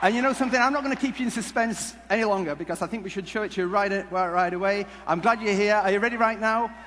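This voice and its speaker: High-voiced man speaking in an echoey hall. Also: fairly bright tone. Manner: jokey, halting, rambling delivery. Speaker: conference speaker. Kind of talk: humorous stage presentation with storytelling. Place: large conference hall before an audience. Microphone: headset mic. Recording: echoey hall PA with background noise.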